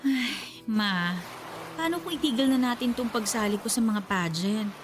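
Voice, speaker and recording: A meek college-age daughter, voiced by a woman in an audio drama, speaking politely and hesitantly. Her voice sits fairly high with lively pitch movement. A constant background noise runs under it. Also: normal speed, natural voice. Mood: timid.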